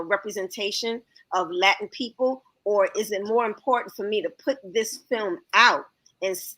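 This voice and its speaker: A woman's voice: mid-range voice, sharp tone. A woman, a guest reviewer from Harlem, New York, talking in a casual panel chat and reasoning aloud with rhetorical questions.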